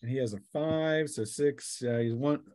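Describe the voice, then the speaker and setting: A man's voice: medium pitch, normal speed, a little mellow. A man narrating a tabletop role-playing game in a casual way as game master.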